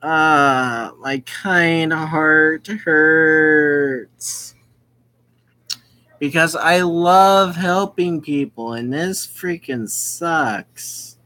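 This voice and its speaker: A gamer reading a visual novel aloud with casual commentary, halting and often explaining who is speaking. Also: mid-range voice, pushed and bright. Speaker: gaming video host.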